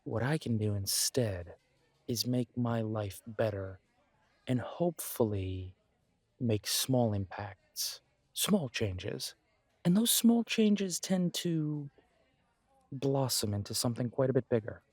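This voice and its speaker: A man playing a supportive, self-deprecating best friend in a casual roleplay audio drama, speaking in an earnest, encouraging, conversational way with pauses. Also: mid-range voice, expressive, darkish tone, husky, no room sound, heavily acted. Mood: reflective, earnest.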